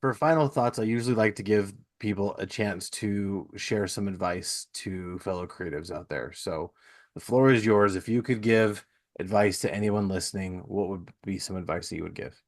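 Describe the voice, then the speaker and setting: A man with a low voice hosts a relaxed, informal interview. He talks in an earnest, conversational way and sounds encouraging as he responds to his guest. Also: moderate pace. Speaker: podcast host. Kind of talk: casual interview chat.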